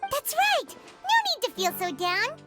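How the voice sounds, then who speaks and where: Squeaky, bubbly little childlike companion. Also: female voice, very animated, unhurried, loud music behind the voice, full character voice. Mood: cheerful, excited. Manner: bubbly, chirpy. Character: tiny, perky, childlike helpful companion. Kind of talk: anime-style video game voice acting.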